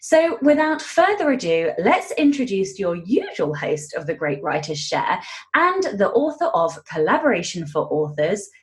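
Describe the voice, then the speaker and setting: A woman's voice: medium pitch, sing-song, moderate pace. A woman hosting a podcast interview in a chatty style. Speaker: guest podcast host.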